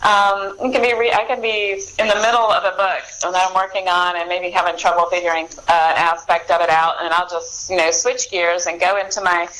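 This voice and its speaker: A woman's voice: fairly low pitch, level tone, fairly bright tone, poor audio. A middle-aged woman speaking as an author guest in a casual interview, chatty, often doubling back and correcting herself. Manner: chatty, halting and self-correcting.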